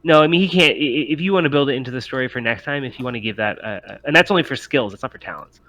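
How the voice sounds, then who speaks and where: A man's voice: mid-range voice, lively intonation, very fast, pushed and bright. A man running a tabletop game as game master, casually explaining rules with a hesitant, stammering delivery full of restarts.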